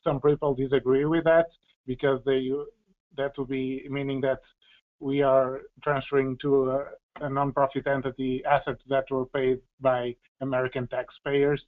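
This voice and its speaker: Male policy expert, even mid-range delivery, halting explanatory answers, heard through a narrow phone-like line. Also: medium pitch. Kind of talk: explanatory panel Q&A. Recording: tinny, phone-like band-limited audio.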